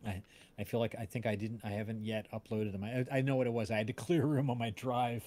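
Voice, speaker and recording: A man's voice: on the low side, flat delivery, quick pace, crowd noise. A man hosting a casual livestream, talking in a rambling, hesitant way. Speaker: audio drama creator hosting livestream.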